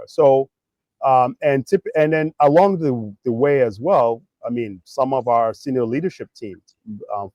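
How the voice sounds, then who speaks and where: Halting, thoughtful middle-aged man. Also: mid-range voice, a little mellow, no room sound. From Nigeria. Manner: halting, thoughtful, pausing mid-phrase. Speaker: interview guest, tech executive. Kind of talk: reflective career interview, conversational.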